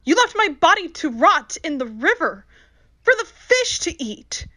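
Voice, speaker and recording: A woman's voice: very high-pitched, big pitch swings, measured pace, bright, forceful tone, dry recording, heavily acted. A woman playing the accusing ghost of a murdered young woman in a radio horror drama, wailing her accusations. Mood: anguished, accusing.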